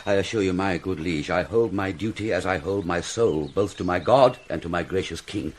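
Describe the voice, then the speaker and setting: An actor playing a pompous, long-winded, fussy older royal counsellor in a classical Shakespearean drama. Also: male voice, deep voice, normal speed, slight background noise, natural voice. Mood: proud, self-satisfied. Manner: pompous, self-satisfied, wordy.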